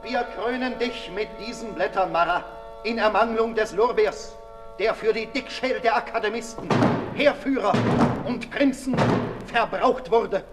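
An actor playing a mocking pauper who declaims ceremonial verse in a 1960s radio play. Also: male voice, high-pitched, normal speed, natural voice. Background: loud music.

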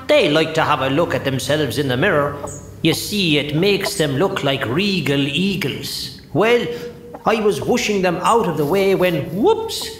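An actor playing a chatty, hapless Irish sprite servant in a children's audio drama, colloquial and matter-of-fact. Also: male voice, sits high. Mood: excited storytelling. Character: chatty, cheeky male sprite, the Snow Queen's hapless servant. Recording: roomy echo, music underneath.

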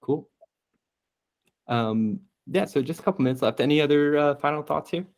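A man's voice: on the high side, moderate pace. Young man hosting a video call. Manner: relaxed, filler-heavy, 'like' often. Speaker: meeting host. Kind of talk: casual video call meeting. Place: room at home.

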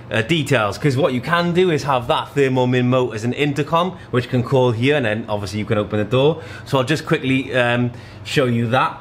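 A man in his thirties demonstrates a product in an informal, step-by-step way. His voice sits at an average pitch. There is audible room noise behind him in an indoor showroom. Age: 30s. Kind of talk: hands-on tutorial narration.

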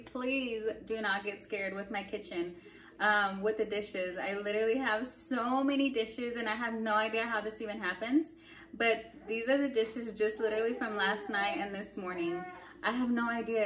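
A woman's voice: mid-range voice, fairly bright tone, slight background noise. A young woman talking casually to her viewers while cleaning her home kitchen, reassuring and a little apologetic about the mess. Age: young adult. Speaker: home vlogger. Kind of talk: casual cleaning vlog narration.

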